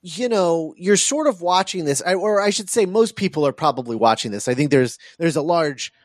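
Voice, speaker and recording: A man with a fairly high voice talks through films as a podcast co-host. He circles back and restarts phrases as he goes, in a casual, halting manner. The sound is close and dry. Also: expressive, dry recording. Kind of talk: casual film discussion.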